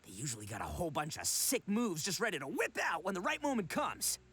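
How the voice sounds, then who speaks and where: A man's voice: high-pitched, wildly swooping pitch, quick pace, sharp tone, slightly rough, full character voice. A man voicing a brash, goofy young self-proclaimed expert in an anime game, bragging theatrically in slangy speech. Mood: reassuring, confident.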